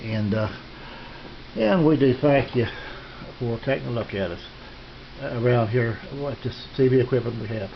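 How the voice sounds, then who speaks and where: A middle-aged or older man talks casually in a halting, folksy way, feeling out his sentences as he goes. He sounds like a hobbyist showing off his gear at home. The handheld camera audio is dull and lo-fi, with faint noise behind him. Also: medium pitch, creaky. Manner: halting, hesitant, folksy delivery. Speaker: radio hobbyist presenting his equipment. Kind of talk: casual unscripted show-and-tell. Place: small home radio room. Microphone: handheld camera's built-in microphone.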